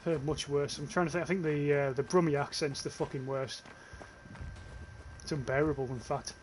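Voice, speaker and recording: A man's voice: on the high side, steady tone, moderate pace, slight background noise. A young British man with a northern English accent, casually commentating his gameplay livestream, swearing offhand and reading chat comments. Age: young adult. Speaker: streamer.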